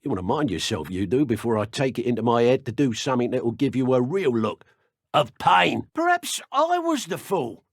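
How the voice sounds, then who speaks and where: A menacing British dark wizard poacher from a video game, taunting and sneering with theatrical flair. His pitch swings widely, with some gravel and a husky edge. The speech is colloquial and full of threat. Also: big pitch swings, a little fast, dry recording, clean studio sound. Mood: irritated, threatening. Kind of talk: video game character voice acting.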